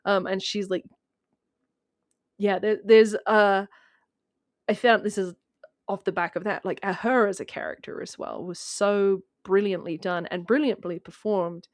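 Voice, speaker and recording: A woman talking casually as a guest in a film discussion, telling it in an animated way and acting out imagined remarks. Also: mid-range voice, unhurried, no echo, clean studio sound.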